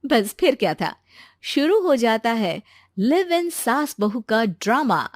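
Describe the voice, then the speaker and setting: A woman's voice: fairly high pitch, big pitch swings, takes it slowly, no room sound, clean studio sound. A woman narrating a scripted story as a storyteller.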